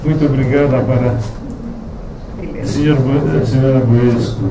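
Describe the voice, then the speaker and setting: A man with a Romanian accent, whose first language is Romanian, gives a halting thank-you speech as author at a book launch, often stopping to search for words. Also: mid-range voice, steady tone. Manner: hesitant, searching for words, many restarts. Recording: echoey room, distant mic, noisy.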